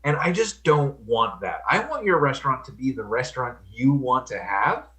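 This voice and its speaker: Earnest man in mid pitch. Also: big pitch swings, normal speed, sharp tone. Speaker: improv performer. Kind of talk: improvised scene and casual chat.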